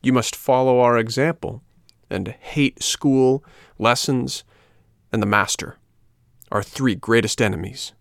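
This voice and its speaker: A man's voice: mid-range voice, fairly even intonation, a little slow, no room sound, clean studio sound, pitched above the performer's own voice, lightly acted. A man voicing mocking rascal schoolboys in a storybook, with a jeering, emphatic and bullying manner. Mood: bossy.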